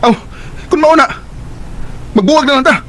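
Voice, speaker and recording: A man's voice: very high-pitched, normal speed, fairly bright tone, a little hiss or hum. An actor with a Cebuano accent playing a devoted young working man who turns into a jealous boyfriend, speaking in an emotive, pleading way. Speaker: radio drama actor. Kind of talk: Cebuano radio drama acting.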